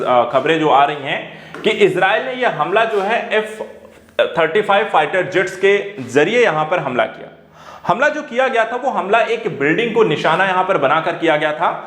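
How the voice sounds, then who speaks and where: A man teaches. He explains current affairs emphatically, circling back over his points like a coaching instructor. The room around him rings with a clear echo. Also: high-pitched, a bit bright. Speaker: teacher at an exam coaching academy. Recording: echoey room.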